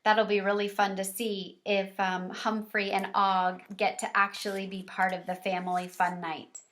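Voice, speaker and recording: A woman reading a children's storybook aloud, deliberately and expressively, as if for young listeners. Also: mid-range voice, level tone, a bit bright. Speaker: read-aloud teacher or reader.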